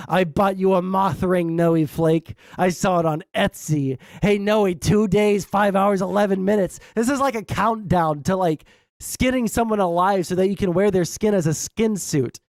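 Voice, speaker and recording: Young guy w a high tenor voice, snarky reaction commentary, dry close sound. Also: moderate pace, a little mellow, no room sound. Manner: blunt, sarcastic, swears often, many "all right?" tags. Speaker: commentary streamer.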